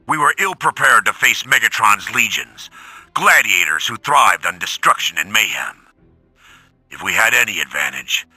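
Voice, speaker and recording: An earnest robot soldier worn down by war, reflecting for a video game audio log. The tone is raspy and very sharp, close and dry, with faint music behind. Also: male voice, fairly low pitch, no echo, exaggerated voice, rough recording. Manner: solemn, reflective. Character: weary robot war veteran recounting history, earnest. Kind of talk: dramatic scripted audio log narration.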